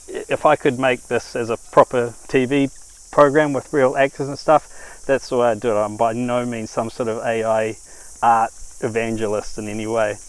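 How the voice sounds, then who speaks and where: A man giving a halting, musing, unscripted introduction to his own series concept, with many pauses. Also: mid-range voice, clean tone, poor audio. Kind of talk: unscripted introduction to camera or microphone.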